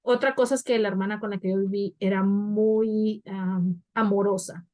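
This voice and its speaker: A woman's voice: mid-range voice, fairly even intonation, normal speed, mellow, soft background music. A young woman, co-hosting a podcast and reflecting on her past in casual talk, often with "o sea". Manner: reflective, many fillers like "o sea". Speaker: podcast co-host.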